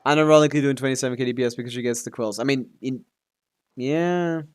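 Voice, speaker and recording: Male streamer, mid-pitched voice, offhand, casual gaming commentary, thinking aloud, occasional swearing. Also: fast talker, darkish tone, smooth. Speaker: live game streamer. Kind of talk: casual live stream commentary, answering chat questions.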